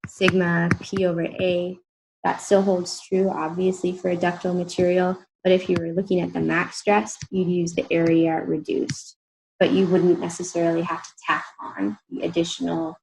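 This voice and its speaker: A woman in her thirties, an engineering instructor, explains a recorded lecture step by step in a relaxed, informal way, her voice tired and croaky. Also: lowish voice, slight background noise, low-quality recording. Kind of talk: recorded lecture over slides.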